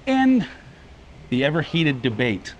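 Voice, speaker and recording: A man's voice: fairly high pitch, huge pitch swings, takes it slowly, muffled recording, a little hiss or hum. A man co-hosting a travel vlog, giving an easygoing, chatty walking tour aboard a cruise ship. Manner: easygoing, chatty, laughs between remarks. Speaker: travel vlogger co-host. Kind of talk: casual walking tour narration. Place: aboard a cruise ship, indoors and on open decks.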